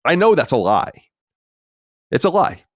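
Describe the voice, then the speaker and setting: A man's voice: on the high side, sing-song, a little slow, no echo, clean studio sound. A man in his forties co-hosting a podcast, chatting casually, reading listener mail aloud and answering bluntly with sighs and swearing. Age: 40s. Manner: sighing, blunt and profane, reads letters aloud. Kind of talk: casual chat.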